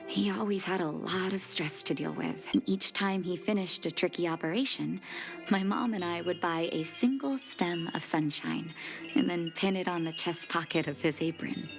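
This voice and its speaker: An actress playing a kind, caring adult doctor in a game cutscene, speaking gently and earnestly. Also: female voice, on the low side, normal speed, gravelly. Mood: reminiscing. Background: game music.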